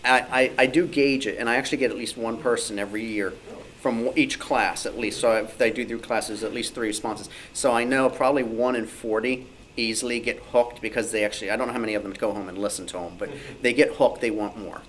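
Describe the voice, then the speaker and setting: A man's voice: mid-range voice, steady tone, fast, fairly bright tone, poor audio. A man speaking informally on a panel at a club meeting, explaining things with examples and asides. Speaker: club newsletter editor, panelist. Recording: noticeable background noise.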